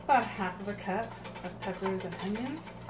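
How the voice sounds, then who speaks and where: A woman's voice: medium pitch, moderate pace. A woman giving a casual home cooking demonstration, thinking aloud hesitantly as she works. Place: home kitchen, indoors. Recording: noisy home kitchen recording.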